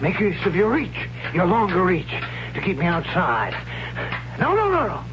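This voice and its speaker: An actor playing a gruff older warrior and sword teacher in a 1970s radio drama, blunt and instructing. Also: male voice, high-pitched, huge pitch swings, normal speed. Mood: brisk, instructing. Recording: dull, noisy old radio recording with hum.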